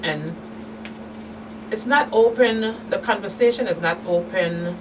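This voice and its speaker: A middle-aged woman giving candid personal testimony as an interview guest, speaking haltingly with emphatic turns. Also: medium pitch. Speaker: guest, survivor and advocate. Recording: distant mic, noisy room hum.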